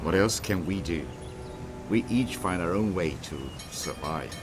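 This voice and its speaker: An actor playing a poor, one-legged Chinese railway laborer who now plays music on the street, speaking slowly and humbly. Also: male voice, sits low, expressive. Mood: weary. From China. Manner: slow, humble and sorrowful. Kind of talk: audio drama acting.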